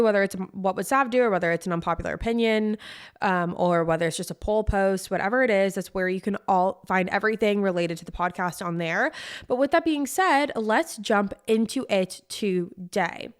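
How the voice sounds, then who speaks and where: A woman's voice: medium pitch, moderate pace, smooth. A young American woman hosting a solo podcast, talking casually and effusively, with informal, emphatic phrasing. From Connecticut, US East Coast. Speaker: podcast host.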